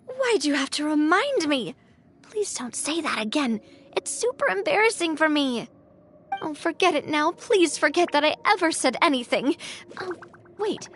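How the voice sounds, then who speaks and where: Heartfelt young woman boss, high. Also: sing-song, normal speed, a little hiss or hum. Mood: embarrassed. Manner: sincere, heartfelt, a little self-conscious. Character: young woman, a cheerful boss touched by her crew's support. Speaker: game character. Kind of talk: video game voice acting. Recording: game audio with music behind.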